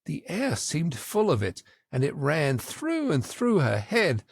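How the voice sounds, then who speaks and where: A man narrating a children's story in an expressive, theatrical audiobook style. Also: fairly high pitch, slow, creaky, breathy edge, dry recording.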